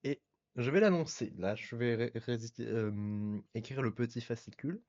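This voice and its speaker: A man's voice: mid-range voice, normal speed, darkish tone, highs cut off. A male podcast guest who talks in elaborate, literary phrasing during a casual conversation.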